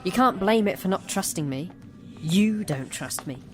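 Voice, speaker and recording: A woman's voice: lowish voice, lively intonation, normal speed, over music, close to an everyday voice. A woman playing a sly, wheedling little boy who needles his sister in an audio drama. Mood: smug, needling. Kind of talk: audio fiction drama acting.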